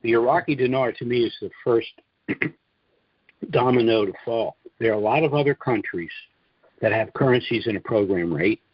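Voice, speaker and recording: A man hosting a conference call, delivering an informal monologue briefing in deliberate phrases with emphatic pauses and a husky voice. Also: mid-range voice, no echo. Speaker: conference call host and presenter. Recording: dull, lo-fi conference call audio.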